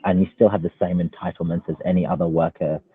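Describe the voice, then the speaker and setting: A man's voice: low-pitched, little pitch movement, fast talker, husky. A man speaking informally as a student union panelist, explaining things in a hesitant way. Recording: phone-like narrow call audio.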